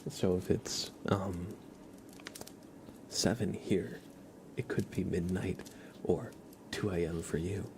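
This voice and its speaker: An American man hosting an ASMR livestream, speaking in a soft, breathy whisper with an unhurried, gently reassuring manner. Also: low-pitched, steady tone, background noise. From East Coast of the United States, near New York. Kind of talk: whispered ASMR, casual livestream chat and card reading.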